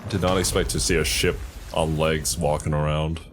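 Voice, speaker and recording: A man's voice: a bass voice, level tone, normal speed. A man giving casual reaction commentary as host of a video, talking over a game trailer. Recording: loud trailer music behind. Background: game trailer audio, music.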